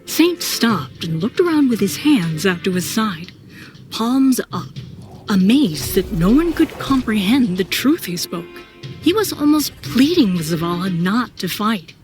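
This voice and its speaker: A woman's voice: mid-range voice, very animated, a little slow, a little mellow, soft background music. A woman giving scripted, dramatic first-person narration as the narrator of an audio story.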